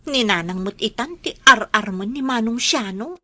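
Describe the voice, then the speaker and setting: A woman's voice: a little high, lively intonation, normal speed, bright, forceful tone, no room sound, full character voice. A woman playing a dutiful young daughter in an Ilocano radio drama. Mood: surprised, questioning. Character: dutiful young daughter, startled then accepting.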